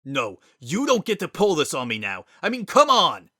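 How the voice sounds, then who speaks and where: A man acting Sans, emotionally raw wisecracker, bright voice. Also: fairly high pitch, huge pitch swings, moderate pace, dry recording, clean studio sound, voice pushed up, full character voice. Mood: desperate, pleading. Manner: slangy, informal, emotionally raw. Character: Sans, a wisecracking man pleading desperately, worn down by grief.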